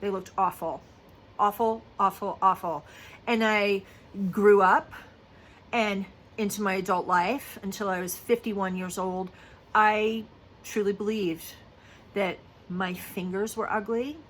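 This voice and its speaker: A woman's voice: medium pitch, fairly even intonation, slow pace, a bit bright, a little hiss or hum, low-quality recording. A woman in her fifties talking casually to the camera, chatty and confiding, with lots of fillers like "you know" and "um". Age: 50s. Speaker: lactation consultant. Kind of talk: casual personal vlog talk.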